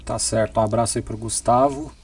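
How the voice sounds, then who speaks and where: A man's voice: lowish voice, fairly even intonation, a little fast, darkish tone, far from the mic, a little hiss or hum. A man with a southern Brazilian gaúcho accent, co-hosting a casual advice show in a jokey, playful way. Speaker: co-host reading listener questions.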